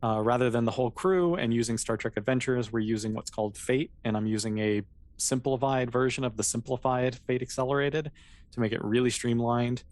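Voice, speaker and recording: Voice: medium pitch, a little fast, a little mellow. An American game master from Florida chatting casually and informally while hosting and explaining a tabletop game. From Florida, United States.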